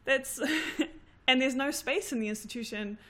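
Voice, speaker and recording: A woman's voice: high voice, a little slow. A woman in her thirties with a New Zealand accent, chatting casually and telling stories animatedly, often laughing. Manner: animated storytelling with frequent laughter. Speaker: graduate student. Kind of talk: casual conversation.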